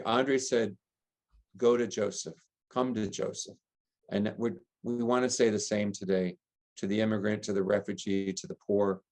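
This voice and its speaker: Earnest American priest, low voice. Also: male voice. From United States. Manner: earnest, gentle, lists ideas in long chains. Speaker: priest and rector, interview guest. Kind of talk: reflective interview answers.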